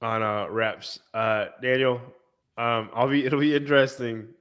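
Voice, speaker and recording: A man's voice: mid-range voice, fairly even intonation, unhurried, fairly bright tone, clear, resonant tone. A man hosting a casual podcast chat, slightly nasal and congested from a cold, teasing and coaching his friends. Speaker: podcast host.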